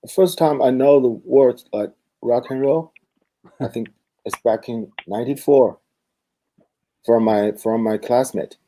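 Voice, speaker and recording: Halting, dark-voiced Chinese man. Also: medium pitch, low-quality recording. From China. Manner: halting, searching for words. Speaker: guest. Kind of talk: video call interview. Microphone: video call.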